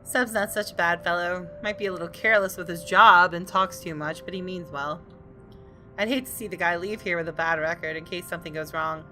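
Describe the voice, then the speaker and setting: A woman's voice: on the low side, fairly even intonation, a little fast, bright, forceful tone, soft background music. A woman gaming host reading visual novel narration aloud, sometimes in a casual conversational style.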